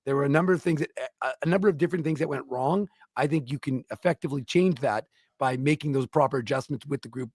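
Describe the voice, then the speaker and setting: Sports-talk co-host, male, mid-pitched, opinionated, stumbles and restarts, dry close sound. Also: fast talker, darkish tone, breathy edge, dry recording. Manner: opinionated, frequent restarts and repeated words. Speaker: co-host of a hockey talk show.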